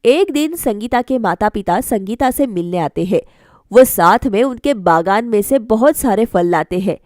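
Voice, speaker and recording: A woman's voice: medium pitch, expressive, normal speed, darkish tone, no room sound, clean studio sound. A woman narrating a scripted bedtime story for a cartoon.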